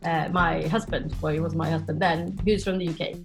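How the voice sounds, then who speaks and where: A woman's voice: low voice, fairly even intonation, a little fast, over music. A Swedish-raised woman in her forties, a podcast guest chatting casually about her life and laughing often as she tells stories. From Sweden. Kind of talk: casual interview chat.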